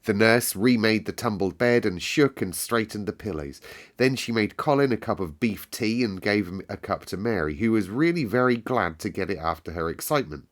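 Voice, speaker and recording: A man's voice: lowish voice, animated, moderate pace. A man narrating a storybook as host, with casual chatty asides to listeners. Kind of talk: read-aloud storybook narration and casual chat.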